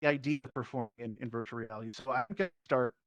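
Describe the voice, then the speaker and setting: A man's voice: mid-range voice, steady tone, racing, fairly bright tone, no echo, muffled recording. A man teaching performance, speaking reflectively and explaining his thoughts in a casual panel talk over a VR headset microphone.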